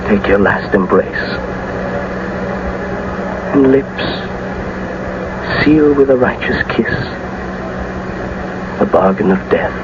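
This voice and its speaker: An actor playing a gentle but desperate young lover in a classical radio drama. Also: male voice, fairly low pitch. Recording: dull, noisy old radio broadcast.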